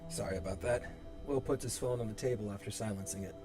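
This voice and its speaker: A man's voice: on the low side, quick pace, over music. A man reading stage directions as the narrator of a horror script in a live table read.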